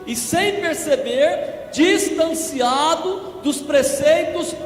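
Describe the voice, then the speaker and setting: A hoarse man preaching a church sermon, slow and emphatic, growing more forceful as he goes. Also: extremely high pitch, faint music behind. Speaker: evangelist preacher. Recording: echoey church with long reverb.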